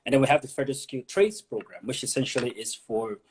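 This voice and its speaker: A man's voice: mid-range voice, lively intonation, quick pace, darkish tone, a little hiss or hum. A man from Nigeria, an immigration consultant, explaining pathways as an interview guest, often repeating words as he thinks.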